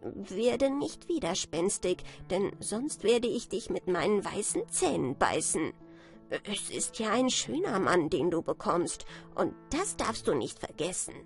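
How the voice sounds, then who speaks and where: A woman voicing a bossy, scolding female field mouse in a storybook, brisk and insistent. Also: mid-range voice, sing-song, soft background music.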